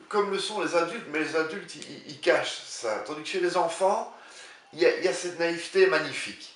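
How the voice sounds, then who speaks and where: A man in his sixties, an author answering interview questions in a halting way, often repeating words as he searches for them. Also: on the high side, fairly bright tone, far from the mic. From Belgium. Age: 60s. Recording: roomy sound, voice a bit off-mic.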